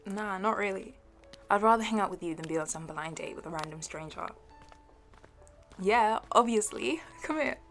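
A woman's voice: mid-range voice, normal speed, soft background music, lightly acted. A woman playing a playful, shyly fond young best friend in an intimate audio roleplay, giggly and hesitant. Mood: affectionate. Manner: giggly, hesitant, soft trailing asides. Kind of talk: intimate audio roleplay, one side of a conversation.

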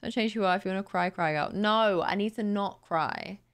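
A young woman streaming from her room late at night, tired and a little raspy, chatting casually and thanking viewers on a close studio mic. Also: mid-range voice, fairly quick. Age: young adult. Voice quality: slightly raspy today. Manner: chatty, bubbly, laughs easily. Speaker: live streamer. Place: home streaming room, indoors. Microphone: boom studio mic close to mouth.

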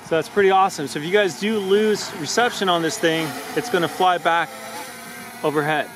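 A man's voice: on the high side, a little fast. A man giving casual, upbeat commentary while test-flying a drone outdoors in a park field, thinking aloud as he goes. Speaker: drone reviewer. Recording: outdoor wind and traffic noise.

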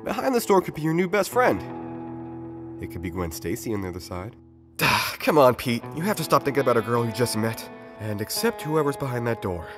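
A man voicing an earnest, awkward young college student in a comic dub, with an expressive manner. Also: mid-range voice, fairly quick, natural voice. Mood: hopeful, self-coaching. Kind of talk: comic dub character acting. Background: music.

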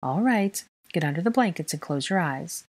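A woman voicing a bashful young mother in a storybook, gentle and modest with a playful touch. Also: fairly low pitch, lively intonation, moderate pace. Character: soft, bashful young witch mother in her twenties.

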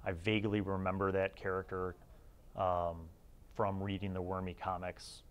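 Male hobby reviewer, slightly low voice, casual and rambling, off-the-cuff delivery. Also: completely flat delivery, moderate pace, clean tone, highs cut off. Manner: rambling, thinking aloud, conversational asides. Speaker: host of a retro gaming review series. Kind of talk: casual unscripted review while leafing through a magazine.

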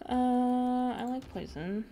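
Slow-talking young woman with a nasal, fried voice. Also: fairly high pitch, a little hiss or hum. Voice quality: nasal with vocal fry. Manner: giggly, bursts into loud excited shrieks. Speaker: VTuber streamer. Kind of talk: casual gaming stream chat, reading chat aloud.

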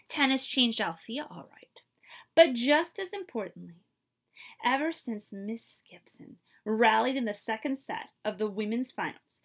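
Animated woman reading a picture book aloud. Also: fairly high pitch, gravelly. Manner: animated, asks listeners questions. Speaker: librarian reading aloud to children. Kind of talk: expressive picture-book read-aloud with asides. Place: small room indoors.

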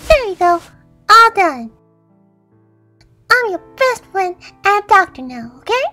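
A woman's voice: very high-pitched, very animated, slow pace, bright, forceful tone, faint music behind, exaggerated voice. A woman doing a bubbly little girl with a Southern twang. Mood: earnest. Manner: bubbly, earnest, childlike. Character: sweet little girl, cheerful aspiring doctor. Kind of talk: fan comic dub.

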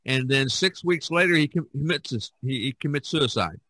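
Man, podcast host, bright forceful voice, emphatic storyteller, dry close sound. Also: a little high, normal speed, dry recording. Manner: animated, emphatic storytelling with many restarts. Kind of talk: casual discussion.

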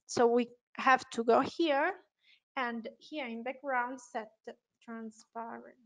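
Female software trainer, Italian accent, fairly high voice, unhurried and hesitant, explaining step by step. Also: fairly even intonation, poor audio. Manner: hesitant, unscripted explaining with self-corrections. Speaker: webinar presenter, software trainer.

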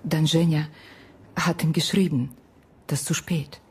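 An actress playing a sorrowful Ukrainian woman in a radio drama, speaking halting, broken German in a breathy half-whisper with an Eastern European accent. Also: sits low, fairly even intonation, darkish tone, heavily acted. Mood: wistful. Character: sorrowful Ukrainian woman recounting a lost love. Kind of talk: radio drama acting, intimate storytelling.

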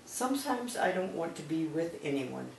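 A woman's voice: deep voice, big pitch swings, moderate pace, creaky, darkish tone. An older woman, a grandmother, reading a children's picture book aloud at home. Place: small living room at home, on a couch. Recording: roomy, distant mic, background noise.

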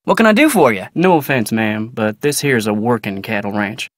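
A man's voice: fairly low pitch, lively intonation, normal speed, dry recording, clean studio sound, close to an everyday voice. A man playing a polite, plain-spoken, guarded ranch hand in a video game, with a folksy, drawling American accent. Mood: polite, firm. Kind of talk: video game character dialogue.

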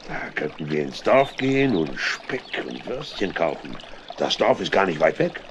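An actor playing a jovial, boastful old vagabond trader in a children's radio play, with a folksy, exclamatory manner. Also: male voice, sits low, sing-song, moderate pace, noisy background. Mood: eager, wheedling.

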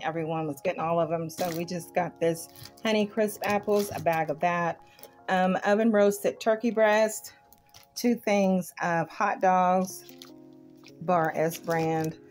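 A woman vlogger in her home kitchen, casually and informally listing items to viewers as she shows them. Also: fairly low pitch. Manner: informal, colloquial grammar, itemizing. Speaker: vlogger showing a grocery haul. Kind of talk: casual chat to viewers, product show-and-tell. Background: music.

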